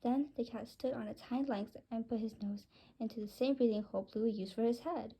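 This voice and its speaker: A woman's voice: fairly high pitch, brisk, dark tone, husky, highs cut off. A woman narrating a scripted first-person story, reading it aloud.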